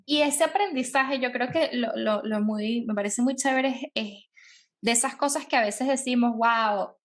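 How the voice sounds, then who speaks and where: A woman hosting a podcast interview, her voice a little higher than most, lively and affirming with quick backchannels. She talks easily and conversationally with her guest. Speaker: podcast host.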